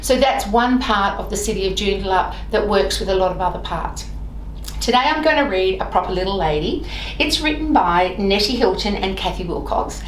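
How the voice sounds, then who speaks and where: A woman reading a children's storybook aloud in an expressive, deliberate way, with sing-song repeated phrases. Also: mid-range voice, distant mic. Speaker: library staff member reading stories. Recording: roomy echo, off-mic, faint noise.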